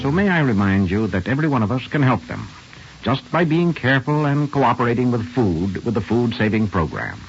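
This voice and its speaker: A man's voice: medium pitch, sing-song, fairly quick. An American man hosting a radio program in a formal 1940s announcing style. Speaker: radio host. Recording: muffled vintage radio with faint music.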